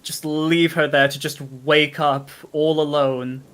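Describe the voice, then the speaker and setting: A player chatting casually and bantering through a tabletop roleplaying game, given to excited gasps and exclamations. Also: medium pitch, slow pace. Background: music.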